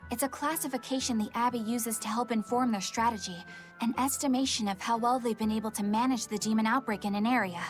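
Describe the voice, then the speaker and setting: High-voiced, formal female inspector played by an actress. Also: fairly even intonation, fast talker, faint music behind, lightly acted. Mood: explanatory. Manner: formal, precise explaining. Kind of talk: video game voice acting.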